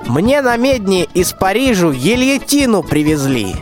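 A very high-pitched, pompous tsar, acted by a man holds forth in rhymed folk speech. He is bossy and wheedling, with swooping, unhurried delivery in a radio fairy tale. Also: very animated, over music. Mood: coaxing, threatening. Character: blustering lovestruck old tsar, bossy and wheedling. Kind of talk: rhymed folk-tale radio play, amateur school production.

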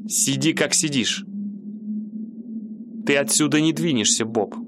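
A man's voice: medium pitch, measured pace, background noise, close to an everyday voice. An actor playing a cold, greedy male outlaw who later turns stockbroker, speaking curtly in a radio play. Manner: curt, flat and coldly decisive. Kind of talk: amateur radio play acting.

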